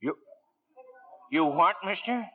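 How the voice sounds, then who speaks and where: A man's voice: high-pitched, expressive, slow pace, exaggerated voice. A man playing a nervous, blunt working-class bridgekeeper who stammers, in a 1940s radio drama. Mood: baffled, wary. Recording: 1940s radio broadcast, thin phone-like sound.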